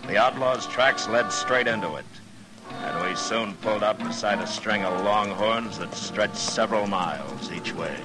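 Guy as dry steady american marshal, lowish voice, measured, weary, old time radio western w/ music behind. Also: natural voice, poor audio. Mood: somber, reflective. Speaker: lead character and narrator. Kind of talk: 1950s radio western acting and narration.

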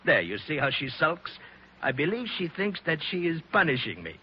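A man's voice: fairly high pitch, sing-song, normal speed. A man playing a suave, vain, menacing Sicilian bandit chief with an Italian accent and courtly, boastful manner in a 1940s radio drama. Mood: suave, mocking. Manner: courtly, mock-polite, boastful. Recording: dull, noisy old radio recording.

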